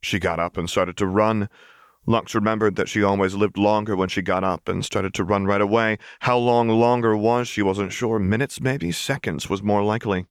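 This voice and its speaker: A man reading an audiobook, narrating dramatic fiction in a measured storyteller's delivery. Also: fairly low pitch, steady tone, clean tone, no room sound, clean studio sound.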